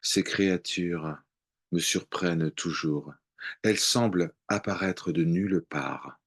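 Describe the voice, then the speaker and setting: A man reciting devotional poetry in a measured, contemplative way, with long pauses between short phrases. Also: deep voice, slightly rough. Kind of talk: slow devotional poem recitation.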